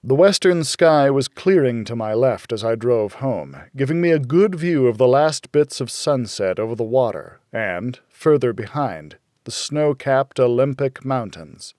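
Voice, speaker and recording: A man reading a hardboiled first-person thriller as an audiobook narrator, in a measured, dramatic storytelling way. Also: mid-range voice, expressive, a little mellow, no room sound, clean studio sound.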